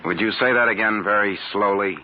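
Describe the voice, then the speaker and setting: A man's voice: lowish voice, lightly acted. A man playing a wisecracking private eye. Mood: wry. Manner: brash, sarcastic, fast-talking. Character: wisecracking hard-boiled private detective, male. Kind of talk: 1950s radio drama acting. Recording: muffled old radio broadcast with hiss.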